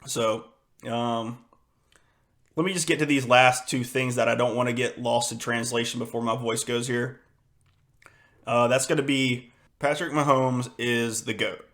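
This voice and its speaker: Young guy, hoarse, kinda losing his voice, flat monotone rambling about football, lots of ums. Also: mid-range voice, a little slow, long pauses. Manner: rambling, frustrated, many fillers and restarts. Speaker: podcast host. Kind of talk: casual solo sports podcast.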